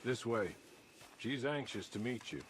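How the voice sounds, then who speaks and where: An actor playing a suave, composed middle-aged gentleman gunslinger in a video game, speaking with polite, measured formality. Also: medium pitch, background noise, lightly acted. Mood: calm, measured. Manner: polite, measured, formal, courteous even in threats. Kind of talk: video game cutscene acting.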